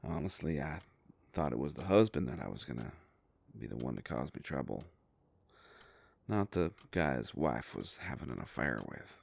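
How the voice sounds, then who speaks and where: A man playing a gruff, protective werewolf private eye in an audio roleplay, with a deep gravelly voice and a folksy, halting, gently teasing way of talking. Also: animated, no echo, exaggerated voice. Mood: weary, easygoing. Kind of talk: scripted roleplay audio drama, intimate.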